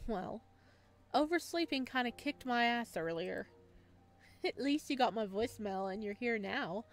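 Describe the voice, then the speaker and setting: A woman's voice: fairly high pitch, expressive, a little slow, soft background music. A woman voicing an anxious male visual novel protagonist who keeps a casual, easygoing front. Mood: sheepish.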